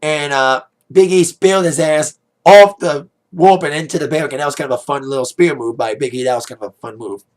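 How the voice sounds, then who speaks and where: Raspy hoarse guy rambling thru a show review, lots of likes n uhs, voice kinda shot. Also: on the high side, fairly quick, hardly any pauses. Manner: rambling, heavy on "like", "uh" and "you know". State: hoarse, voice fading after a DJ gig. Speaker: wrestling pay-per-view reviewer. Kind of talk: casual spoken review.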